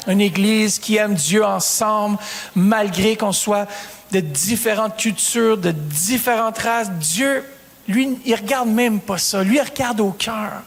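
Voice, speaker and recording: High-voiced pastor preaching in a reverberant hall. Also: male voice, creaky. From Canada. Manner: preaching with rising emphasis and calls to the congregation. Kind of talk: sermon.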